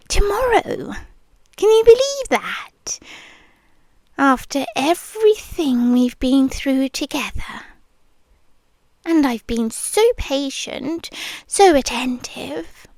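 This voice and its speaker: A woman's voice: high-pitched, no echo, exaggerated voice. A woman with a British accent voicing an obsessive, possessive young nurse in a whispered ASMR roleplay, speaking in an intimate, teasing murmur. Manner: slow, intimate, teasing purr with frequent whispers.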